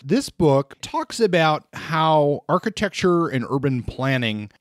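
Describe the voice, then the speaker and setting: A man's voice: fairly high pitch, slow pace, no echo, studio quality. A man hosting a podcast, casually reviewing crime novels with many fillers and small restarts. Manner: relaxed, hesitant with many fillers. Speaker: podcast host reviewing books. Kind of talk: casual book review talk.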